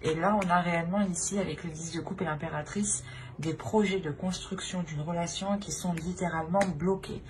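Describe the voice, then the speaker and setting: Low-voiced woman reading tarot, noisy recording. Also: fairly even intonation, fairly quick. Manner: hesitant, repetitive phrasing, talking directly to the listener. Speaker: tarot reader. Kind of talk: improvised tarot card reading to viewers. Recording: clear background noise.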